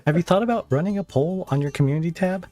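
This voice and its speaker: A man's voice: high-pitched, fast, mellow, faint music behind. A man hosting a podcast interview in casual, unscripted, somewhat tentative conversation.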